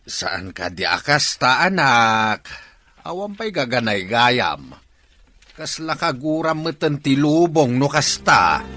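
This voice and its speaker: A man's voice: medium pitch, big pitch swings, slow pace, sharp tone, full character voice. A man playing a concerned older village father in an audio drama, coaxing and paternal. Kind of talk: Ilocano audio drama acting.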